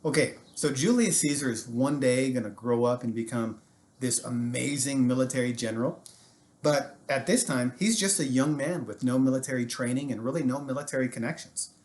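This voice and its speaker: An American man with a medium-pitched voice works as a storytelling teacher. He narrates history in an animated, informal style, slipping in jokey asides as he goes. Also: moderate pace.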